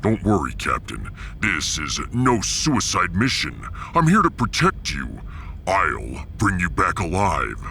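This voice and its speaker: An actor playing a solemn, fierce warrior from a harsh moon, with a gravelly voice and grave, proud, formal speech in a science fiction audio drama. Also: male voice, deep voice, huge pitch swings, slow pace, pushed and bright, a little hiss or hum, exaggerated voice.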